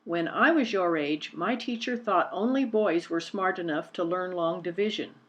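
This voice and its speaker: A woman's voice: fairly low pitch, moderate pace, fairly bright tone, highs cut off, natural voice. Woman reading as a dignified elderly campaigner, earnest. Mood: earnest explaining.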